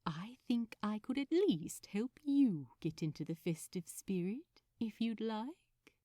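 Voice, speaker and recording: A woman puts on a sweet male gift-wrapper in a romantic audiobook, polite and softly teasing. He takes his time and lilts expressively, in a close, dry recording. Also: mid-range voice, expressive, slow, no echo. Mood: low, inviting. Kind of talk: fan fiction audiobook dialogue.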